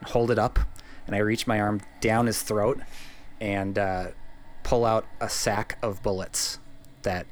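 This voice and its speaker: Low-voiced man improvising in a role-play game. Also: flat delivery, a little slow, slight background noise. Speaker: player in an audio role-playing game.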